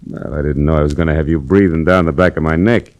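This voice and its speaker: A man's voice: a bass voice, quick pace, darkish tone. An actor playing an evasive, needling male garage owner in a 1940s radio drama. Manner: evasive, needling, mock-friendly. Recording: restored old radio broadcast.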